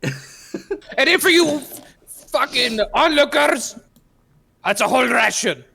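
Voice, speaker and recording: A man's voice: very high-pitched, expressive, slow, vocal fry, pushed and bright, husky, voice pushed up, heavily acted. A man voicing a brash, crude mercenary trooper haggling over lessons in a tabletop game.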